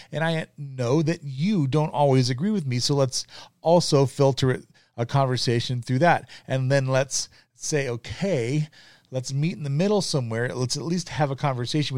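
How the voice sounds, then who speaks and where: A middle-aged man hosts his podcast in an earnest, conversational monologue, often pausing on ums and restarting his sentences. His voice sits at an ordinary middle pitch. The recording is dry and close, with no room sound. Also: darkish tone, no echo. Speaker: podcast host. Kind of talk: casual monologue.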